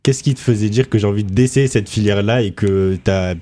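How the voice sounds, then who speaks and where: A man with a low, mellow voice leads the interview in a relaxed way. He prompts with short questions and brief backchannels. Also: fairly quick.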